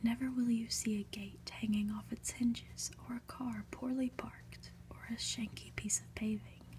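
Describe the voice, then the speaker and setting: A woman reads a story aloud in a hushed, breathy ASMR whisper, deliberate and full of pauses. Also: a little high, fairly even intonation. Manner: slow, deliberate, hushed delivery with dramatic pauses. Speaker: ASMR reader.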